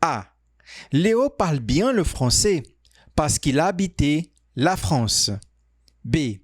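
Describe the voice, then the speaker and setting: A man teaching French, reading quiz sentences and answer options aloud slowly and carefully. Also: fairly high pitch, very animated, husky, no room sound, clean studio sound. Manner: reads sentences slowly, stretched out, pauses on options. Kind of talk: slow, clearly spoken quiz reading.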